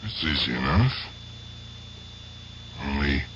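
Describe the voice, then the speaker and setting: An actor playing an aging, guilt-ridden gangster in a radio drama, with rough, halting American speech. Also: male voice, rumbling low, animated, a bit bright, heavily acted. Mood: halting, puzzled. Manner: rough colloquial speech, halting, muttering to himself. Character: aging guilt-tormented gangster, gruff but fond uncle. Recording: dull, noisy old radio drama recording.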